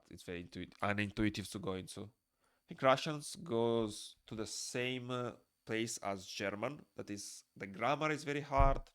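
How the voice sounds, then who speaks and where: A young man with a Moldovan accent chats loosely with his stream viewers while he draws. His voice sits on the low side with a lot of gravelly fry. It is recorded dry and close in a small room. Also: a bit bright, dry recording. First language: Romanian. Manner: rambling, reads chat aloud and answers it. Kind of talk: casual chat while drawing on stream.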